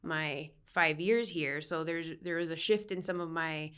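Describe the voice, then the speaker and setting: A woman's voice: low-pitched, measured pace, fairly bright tone, clean tone. A woman from Miami who works as a counseling professor, explaining her research in a casual way with frequent "um" and "like". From Miami, United States. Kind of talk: conversational podcast interview.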